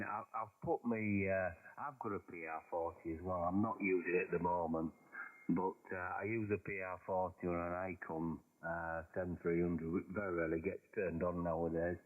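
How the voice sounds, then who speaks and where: Deep-voiced man over a thin radio link. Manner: hesitant, halting with many uhs. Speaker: amateur radio operator. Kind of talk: casual radio chat. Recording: narrow phone-like radio audio.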